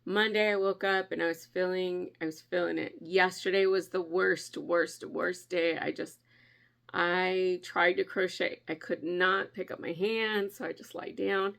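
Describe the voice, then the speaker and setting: A woman's voice: lowish voice, steady tone, a little slow, vocal fry, a bit bright. A woman hosting a crafting vlog in casual chat, stuffy and nasal from a cold, talking from her kitchen at home. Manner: chatty, friendly, often laughing. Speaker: crafting channel host.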